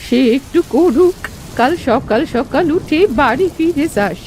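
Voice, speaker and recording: A woman's voice: high voice, animated, a little slow, a little mellow, a little hiss or hum. A woman playing a kindly old granny who is a friendly ghost, doting and folksy, in a children's cartoon. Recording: music behind the voice.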